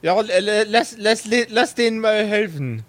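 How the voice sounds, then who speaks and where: High-voiced man running a tabletop game. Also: lively intonation, measured pace, no room sound. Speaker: game master and narrator. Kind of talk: improvised tabletop game narration and casual banter.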